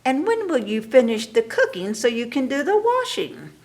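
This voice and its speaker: A woman reading aloud puts on the spiteful stepsisters of a storybook, young and bossy. They scold in a shrill, loud voice pitched well above her own. Their tone is sharp and demanding. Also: very animated, moderate pace.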